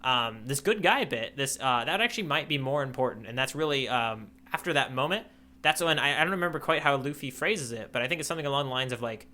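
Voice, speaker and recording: Bright, thoughtful male podcast host. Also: medium pitch, lively intonation, quick pace. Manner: thoughtful, hesitant, many ums and restarts. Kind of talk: casual podcast chat.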